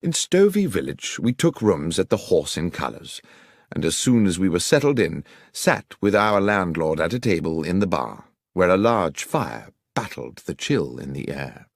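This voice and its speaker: A British man reading a detective story aloud as an audiobook narrator, in a measured, literary and expressive manner. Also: low-pitched, gravelly, dry recording, clean studio sound. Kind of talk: scripted story narration as Dr Watson.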